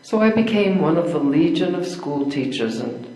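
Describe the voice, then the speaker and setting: An older man playing a frank, independent schoolteacher woman in a measured stage play reading. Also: a little high, sing-song, a little mellow. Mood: reflective. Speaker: amateur readers theater performer. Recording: echoey room.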